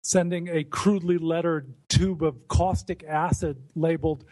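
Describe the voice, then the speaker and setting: A man's voice: fairly high pitch, steady tone, moderate pace, darkish tone. An American man hosting a casual podcast conversation, chatty and amused, stumbling over how he starts his sentences. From United States.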